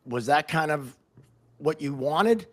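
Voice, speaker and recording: A man's voice: on the high side, lively intonation, takes it slowly. A man hosting a podcast, asking informal, roundabout questions in a casual chat. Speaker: podcast host, interviewer.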